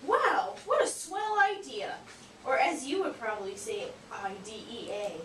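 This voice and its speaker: A woman voicing a cheeky, naive, excitable sock puppet pupil in an amateur educational puppet show, with exaggerated, teasing delivery. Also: fairly high pitch, a little slow. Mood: amazed, excited. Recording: echoey room, distant mic, hissy noise.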